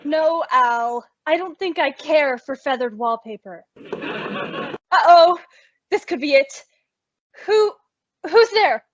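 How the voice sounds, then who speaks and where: A woman acts the level-headed roommate and narrator of a vintage radio sitcom. She is high-voiced and animated, wry and exasperated. The recording is dull. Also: slow pace, long pauses. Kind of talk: old-time radio comedy acting with narration. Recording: dull, lo-fi, dry audio.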